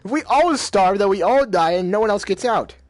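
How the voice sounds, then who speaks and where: A man's voice: high-pitched, animated, brisk, no room sound, faint music behind. Man reading game lines, rambling, dry sound. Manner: reads game dialogue in his own voice, rambling asides; casual slang, many fillers. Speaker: gamer reading a visual novel aloud. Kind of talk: let's play reading and commentary.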